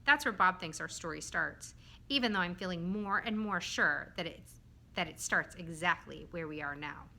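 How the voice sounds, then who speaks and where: A woman's voice: on the low side, expressive, moderate pace, gravelly, bright, forceful tone, exaggerated voice. A woman playing a curious, caring and somewhat bossy young girl in a children's story, direct and coaxing. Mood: puzzled, thoughtful.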